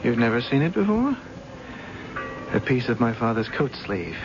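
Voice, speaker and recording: An actor playing a grimly resolved avenger, measured and accusing, in a radio mystery drama. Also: male voice, mid-range voice, big pitch swings, background noise, close to an everyday voice. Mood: cool, accusing. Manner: measured, confrontational. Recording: muffled old radio broadcast, music underneath.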